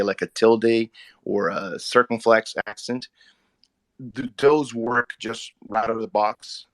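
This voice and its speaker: A man's voice: lowish voice, no room sound. A man whose first language is Portuguese, talking casually in an online audio chat and asking an earnest technical question. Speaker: Twitter Spaces speaker.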